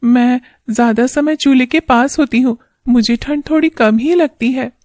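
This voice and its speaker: A woman's voice: high voice, moderate pace, darkish tone, dry recording, studio quality, pitched above the performer's own voice, close to an everyday voice. A woman voicing a poor, caring village wife and mother in a cartoon moral story, anxious and tender. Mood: caring, concerned. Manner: concerned, tender, plaintive. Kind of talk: animated moral story acting.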